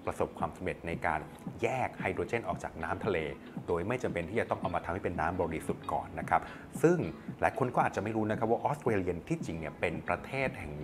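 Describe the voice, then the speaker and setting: A male news presenter with a low-pitched voice explains a report in a conversational, polite style. Prominent music plays behind him as he talks. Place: small indoor studio at a desk. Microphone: clip-on lapel mic. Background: background music.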